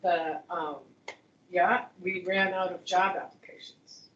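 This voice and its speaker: A woman's voice: on the low side, steady tone, takes it slowly, a bit bright, a little hiss or hum. A woman faculty member in casual discussion, rambling and thinking aloud with frequent restarts. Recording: distant echoey room mic, dull sound.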